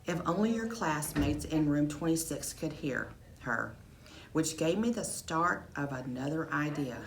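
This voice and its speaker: Female teacher as storybook narrator, low pitch, amateur recording with noticeable background noise. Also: animated, a little slow, vocal fry. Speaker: teacher reading aloud.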